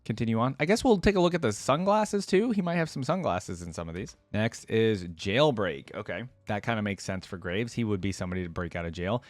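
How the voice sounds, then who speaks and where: A man giving casual reaction commentary as a video host, thinking out loud in an informal way. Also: fairly low pitch, lively intonation, brisk, hardly any pauses, no echo.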